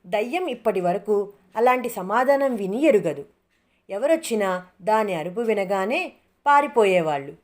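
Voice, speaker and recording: A woman's voice: medium pitch, darkish tone. A woman storyteller reading a scripted moral tale as narrator.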